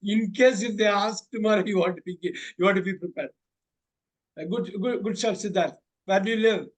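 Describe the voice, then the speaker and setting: A man's voice: high voice, moderate pace. A man with an Indian English accent tutoring students through a mock speaking exam, repeating his praise often.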